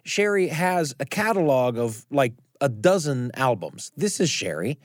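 A man hosting and narrating a radio storytelling show, with dramatic, deliberate phrasing. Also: mid-range voice, sing-song, dry recording, clean studio sound. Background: guitar music.

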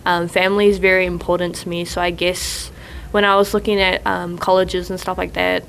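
A young woman from New Zealand with a New Zealand accent, a college basketball player answering interview questions in a relaxed way, laughing often and using lots of fillers. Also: lowish voice, brisk, vocal fry, slight background noise, rough recording. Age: young adult.

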